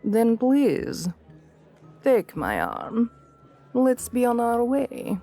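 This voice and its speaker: A woman's voice: a little high, wildly swooping pitch, drawn-out words, dark tone, faint music behind, exaggerated voice. A woman playing an assertive, seductive vampire queen in an ASMR roleplay, formal and courtly, coaxing the listener with veiled menace. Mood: gracious, probing.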